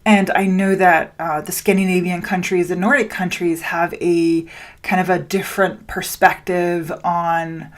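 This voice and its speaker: Slow, lower-pitched woman podcaster from Denmark. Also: little pitch movement. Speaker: podcast host and spiritual business coach. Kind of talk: solo podcast talk addressed to listeners.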